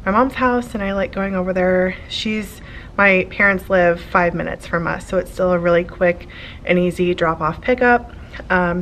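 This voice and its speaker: A woman's voice: mid-range voice, fairly bright tone, slight background noise, poor audio. A young woman, an elementary school teacher, chatting casually to her camera in her classroom. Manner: chatty, filler-heavy, upbeat. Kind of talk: casual vlog chat. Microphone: handheld camera.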